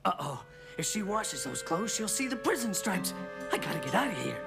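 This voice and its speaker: An actor playing a small, cocky escaped master criminal with a gruff, gravelly voice in a cartoon. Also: male voice, high-pitched, sing-song, normal speed, heavily acted. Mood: alarmed. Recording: loud cartoon music behind.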